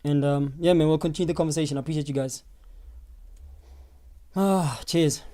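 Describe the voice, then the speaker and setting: Hoarse South African man live streaming. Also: fairly high pitch, normal speed. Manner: animated, talks back to viewers' comments. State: hoarse, losing his voice. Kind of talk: live stream commentary reading chat.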